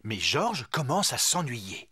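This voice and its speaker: A narrator telling a preschool cartoon story in a friendly, expressive, clearly phrased scripted style. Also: medium pitch, takes it slowly, noisy background. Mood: storytelling.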